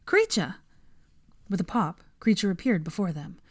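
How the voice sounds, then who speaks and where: A woman's voice: on the low side, lively intonation, fairly quick, a little mellow, dry recording, clean studio sound. A woman narrating a story as an audiobook reader, from a script.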